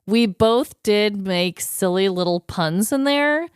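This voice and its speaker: A woman co-hosting a podcast in casual chat, animated and exclamatory in her manner. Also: mid-range voice, slow, clean tone, no room sound, clean studio sound. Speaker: podcast co-host.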